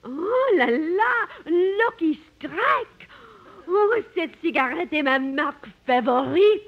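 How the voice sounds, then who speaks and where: Slow-speaking, high-voiced French nursemaid. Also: female voice, very animated, exaggerated voice. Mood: sweet, obliging. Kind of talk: 1950s radio comedy acting. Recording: muffled vintage radio broadcast.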